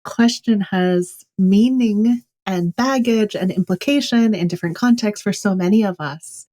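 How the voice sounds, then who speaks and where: A woman's voice: fairly low pitch, warm tone. A woman from California speaking as an author guest in an interview, thoughtful and candid. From California, USA. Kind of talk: reflective interview talk.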